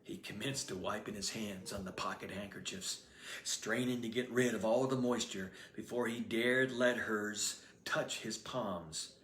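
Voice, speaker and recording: A man reading his own novel aloud as storybook narrator, expressive and lively. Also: fairly low pitch, measured pace, a little hiss or hum. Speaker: author reading his book. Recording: roomy echoey room.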